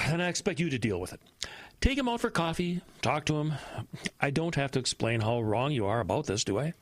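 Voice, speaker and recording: An actor playing a brisk, pragmatic senior pastor in a horror audio drama, admonishing and stern with little patience. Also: male voice, medium pitch, very animated, dry recording, lightly acted. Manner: admonishing, impatient.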